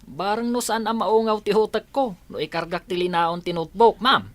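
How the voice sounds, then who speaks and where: A woman's voice: mid-range voice, normal speed, no echo, natural voice. A woman playing a cocky, mischievous schoolboy in a comedy radio drama, cheeky and boastful. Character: cocky, mischievous schoolboy who shirks studying.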